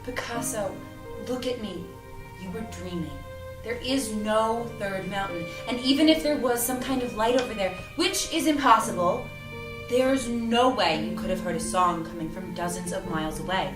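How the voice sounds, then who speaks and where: A woman's voice: mid-range voice, normal speed, close to an everyday voice. A woman acting a feisty, sarcastic and protective young woman in an audio drama read-through, with an exasperated, bossy manner. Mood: skeptical concern. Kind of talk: acted reading of an audio drama script. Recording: roomy, distant mic, music underneath.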